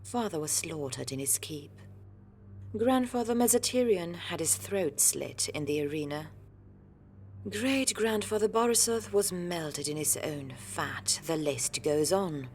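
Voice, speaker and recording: Actress as stern elder sister, dark fantasy warrior, low voice, suspicious, cutting delivery, music underneath, audio drama. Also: female voice, expressive, unhurried. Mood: stern, accusing.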